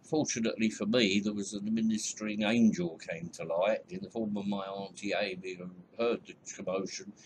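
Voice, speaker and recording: A man's voice: lowish voice, steady tone, a little fast, few pauses. Chatty elderly man, noisy recording. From England. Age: about 80. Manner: chatty and rambling, with many ums and soft chuckles. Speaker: narrator of his own life story. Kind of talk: reminiscing voice-over narration.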